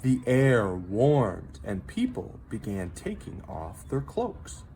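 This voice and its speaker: A man reads a children's story aloud in an expressive, dramatic storyteller manner, pausing for effect. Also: lowish voice, vocal fry, slight background noise. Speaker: teacher reading a picture book aloud.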